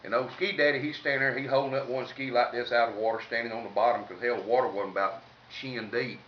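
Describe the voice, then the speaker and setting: A man's voice: medium pitch, steady tone, quick pace. A man with a Southern US accent telling humorous stories in a folksy, salty, colloquial way. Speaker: comedic storyteller. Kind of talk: informal humorous storytelling. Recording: roomy, distant mic, faint noise, dull sound.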